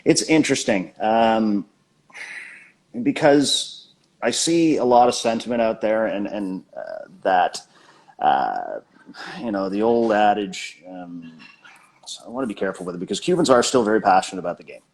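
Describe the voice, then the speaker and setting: A man hosting a casual live chat about baseball, hesitant and self-deprecating, with many pauses and restarts. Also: on the low side, animated, a little mellow, rough recording. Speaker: baseball channel host.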